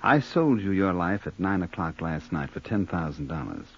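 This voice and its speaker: An actor playing a mock-genteel, menacing hired killer turned blackmailer, deliberate and insinuating, in old-time radio crime drama. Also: male voice, deep voice, big pitch swings, husky, dull audio, heavily acted. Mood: quietly menacing.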